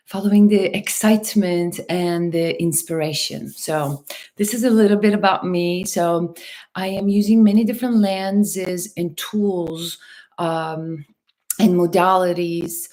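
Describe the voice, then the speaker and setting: A woman from Turkey and Los Angeles hosting a casual livestream, talking to viewers in a gentle, unhurried, spiritual wellness manner. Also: lowish voice, gravelly. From Turkey and Los Angeles, USA. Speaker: host, hypnotherapist and mentor.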